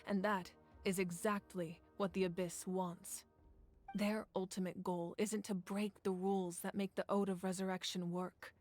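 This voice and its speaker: A woman playing a patient, resolute female ruler in an English video game, speaking in a measured, formal way. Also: mid-range voice, little pitch movement, darkish tone, close to an everyday voice. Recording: music under the voice.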